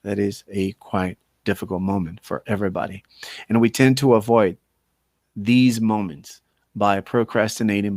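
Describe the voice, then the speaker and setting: A man's voice: fairly low pitch, no echo, studio quality. A man with a Brazilian accent, an English teacher talking informally to learners in an emphatic way, repeating phrases for stress. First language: Portuguese. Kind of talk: informal talk to language learners.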